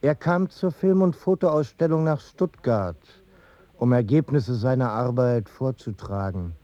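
An actor playing a man soberly recalling past events in measured radio drama narration. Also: medium pitch, warm tone, no room sound, dull audio, natural voice. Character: male witness soberly recalling a film pioneer.

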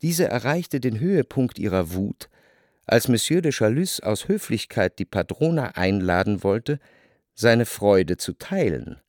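A lowish-pitched, reflective literary narrator. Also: male voice, very animated, a little slow, darkish tone, dry recording, studio quality. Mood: observant. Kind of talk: scripted literary narration in a radio drama.

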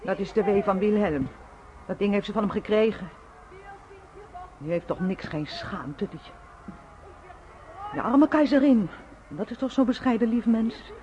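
A woman's voice: mid-range voice, animated, unhurried, pauses often, lightly acted. An actress playing an opinionated working-class mother in a radio drama, plain-spoken and insistent, repeating her points. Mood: indignant, scornful. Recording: muffled, noisy old radio drama recording.

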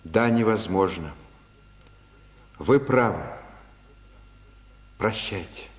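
An actor playing a proud, brooding Italian violinist with theatrical, poetic delivery in a 1950s Soviet radio drama. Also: male voice, lowish voice, drawn-out words. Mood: grave.

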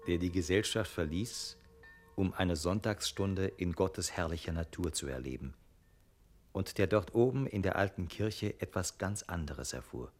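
Deep-voiced male radio play narrator. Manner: measured long sentences.

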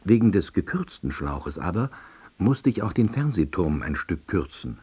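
An actor playing a wry, hapless middle-aged salesman, narrating his own story in an audio drama with a dry, deadpan delivery and husky voice. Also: low voice, moderate pace, exaggerated voice. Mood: dry, reflective. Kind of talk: scripted first-person narration.

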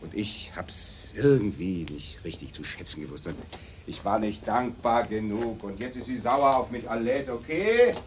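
Radio play casting: male actor as cocky, deep-voiced pimp, glib mockery and theatrical wheedling, faint background noise. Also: lively intonation, moderate pace, lightly acted. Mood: pleading. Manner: mocking, glib, theatrical pleading. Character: cocky, wheedling pimp pleading with and sneering at police.

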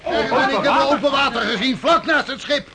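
An actor playing an excitable sailor calling out to the crew in a radio drama. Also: male voice, very high-pitched, a little fast. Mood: elated, shouting. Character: excitable shouting sailor bringing news. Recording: echoey, loud crowd hubbub.

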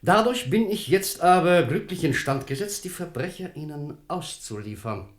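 An actor playing a servile, self-justifying courtier and informer in a radio drama, formal, urgent and unctuous. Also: male voice, on the high side, lively intonation, moderate pace, lightly acted. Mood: urgent, obsequious. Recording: echoey room sound.

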